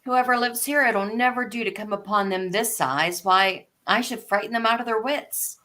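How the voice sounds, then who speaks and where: A woman's voice: fairly high pitch, normal speed, bright, forceful tone. A woman voicing a polite, bewildered but plucky little girl in a storybook live read, earnest and prim. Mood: pondering. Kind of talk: live reading of an abridged classic story.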